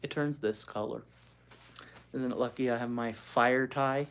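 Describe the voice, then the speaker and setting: An expressive storyteller reading a children's book aloud, pausing to explain things to young listeners. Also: medium pitch, gravelly. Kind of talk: animated read-aloud with asides to child listeners.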